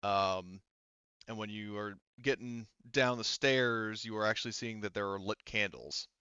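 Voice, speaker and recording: A man narrates a tabletop game as its game master, casually and off the cuff. His voice is clear and forward, with a bright edge. He rambles and halts as he builds the scene, on slightly dull, lo-fi audio. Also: on the low side, dry recording. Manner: halting, rambling, conversational.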